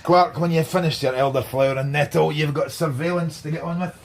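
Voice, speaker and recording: An actor playing a wry, world-weary middle-aged Scottish police detective in a radio drama, with a dry, sardonic manner. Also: male voice, on the high side, fairly even intonation, brisk, creaky. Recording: noticeable background noise.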